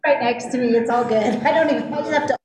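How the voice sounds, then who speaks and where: A woman at a county meeting asking how to move forward, friendly and brisk. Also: on the high side, darkish tone. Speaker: meeting participant. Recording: distant, roomy sound with faint ambience.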